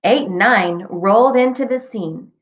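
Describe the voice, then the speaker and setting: A woman reading a children's storybook aloud like a teacher, with deliberate, expressive phrasing for young listeners, on a dull recording. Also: mid-range voice. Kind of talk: scripted storybook read-aloud.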